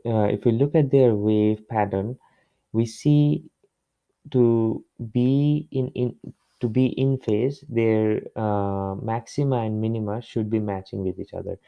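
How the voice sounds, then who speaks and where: A man working through a problem step by step as a tutor, in a halting way with pauses and repeats. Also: on the low side, steady tone, vocal fry, mellow, dry recording. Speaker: physics tutor. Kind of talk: explaining a worked problem step by step. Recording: dull, muffled lo-fi close recording.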